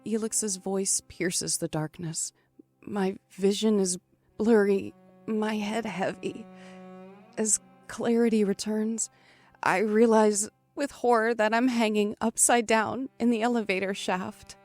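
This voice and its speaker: A trapped, fearful but defiant heroine of a thriller audio drama, played by a woman. She speaks slowly in a mid-range voice, dramatic and full of suspense, over faint music. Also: mid-range voice, fairly even intonation, a little mellow, natural voice. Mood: grim, dazed. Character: frightened but defiant trapped woman narrating her ordeal. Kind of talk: first-person thriller audio drama narration. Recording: music under the voice.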